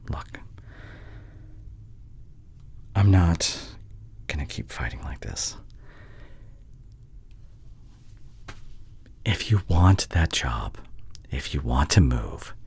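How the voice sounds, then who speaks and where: A man plays a conflicted male doctor, a wounded but caring ex in an intimate audio roleplay. His voice is very low and husky, often breathy. He speaks slowly and haltingly, with earnest feeling. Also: no echo, heavily acted. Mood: pained, pleading. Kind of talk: roleplay audio acting, intimate ASMR drama.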